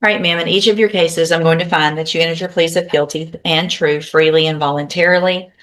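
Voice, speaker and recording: A woman judge with a low voice conducts a formal courtroom hearing. She works through charges and questions step by step, in a procedural manner. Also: steady tone, fairly quick, fairly bright tone. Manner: procedural, methodical.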